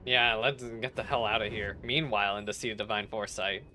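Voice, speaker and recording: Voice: mid-range voice, steady tone, a little fast, sharp tone, a little hiss or hum. A streamer giving casual, joking commentary on a game and talking back to its characters.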